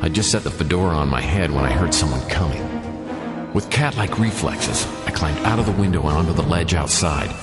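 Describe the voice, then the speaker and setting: A man acting a world-weary private eye in a radio play, very low in pitch. He narrates his misfortunes with dry, self-mocking wit. Long reverb and clear music surround the voice. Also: brisk, lightly acted. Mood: wry narration.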